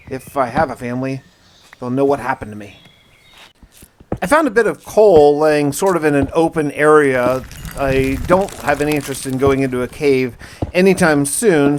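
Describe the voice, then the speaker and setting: A man's voice: on the high side, a little hiss or hum. A man narrating a gaming survival story as a scripted first-person log, with a dramatic storytelling delivery. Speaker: gaming video host narrating a role-play survival series. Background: music.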